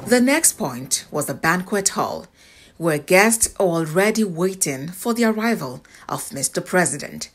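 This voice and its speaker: A woman's voice: fairly low pitch, lively intonation, a little slow, slight background noise. A woman with a Nigerian accent reading a scripted television news report as a voice-over.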